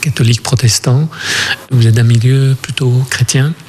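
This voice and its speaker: A man working as a journalist on a radio interview program. He asks his questions calmly and gently probes deeper into the guest's story. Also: normal speed, a little hiss or hum.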